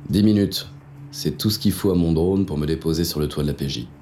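An actor playing a cynical, contemptuous police inspector who narrates a noir audio drama in a deadpan, sardonic, gravelly voice. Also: male voice, very deep voice, a little fast, slight background noise. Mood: brooding, smug.

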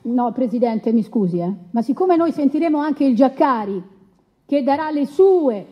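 A woman's voice: high voice, expressive, normal speed, warm tone, breathy edge. An actress playing an assertive female lawyer who cross-examines formally and pointedly in a dramatised trial. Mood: firm, indignant. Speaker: actor in a radio courtroom play. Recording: dull, long reverberant hall sound.

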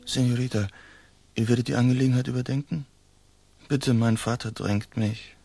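A man's voice: mid-range voice, fairly even intonation, normal speed, dark tone, faint music behind, close to an everyday voice. An actor playing a languid, faint-hearted young nobleman in a radio drama, polite and formal in manner. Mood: courtly. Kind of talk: German radio drama acting.

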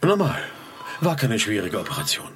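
A man's voice: lowish voice, wildly swooping pitch, normal speed. A man playing a cynical, agitated doctor in a radio play. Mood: curt, superior.

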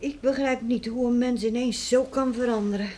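A woman's voice: on the high side, steady tone, mellow, close to an everyday voice. An actress in a radio play playing a caring middle-aged farmer's wife and mother, with a soothing, practical manner. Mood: worried. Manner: soothing, homely, measured. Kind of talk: Dutch radio play acting. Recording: noisy old radio play recording.

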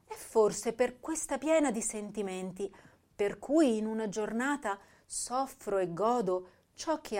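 An actress playing a passionate, bright teenage schoolgirl poet, reading her letters aloud in an expressive, ardent way. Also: fairly high pitch. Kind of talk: dramatic reading of letters and diary.

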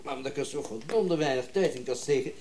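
An actor playing a wealthy, self-important businessman and collector in a Dutch radio drama, brisk and commanding. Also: mid-range voice, darkish tone, slight background noise, lightly acted. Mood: businesslike.

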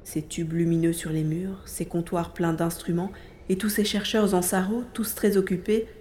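A woman's voice: lowish voice, flat delivery, moderate pace, mellow, natural voice. A woman playing a determined, tender young searcher in a French audio drama adapted from a youth novel. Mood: tense, observing. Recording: roomy echo with music underneath.

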